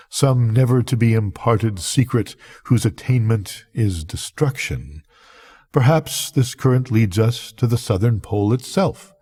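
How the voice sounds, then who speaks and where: Husky, mellow older man reading a story. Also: lowish voice. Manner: measured, expressive, theatrical at climaxes. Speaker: grandfather reading a bedtime story. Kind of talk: dramatic literary story narration.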